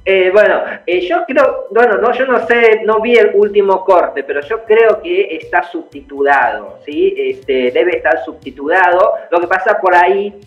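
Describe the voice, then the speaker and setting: A man's voice: high voice, expressive, unhurried, few pauses. A middle-aged man from Taiwan, an actor joining an interview by video call, laughing often and hesitating with many fillers. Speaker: actor guest. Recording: echoey lo-fi video call with faint music.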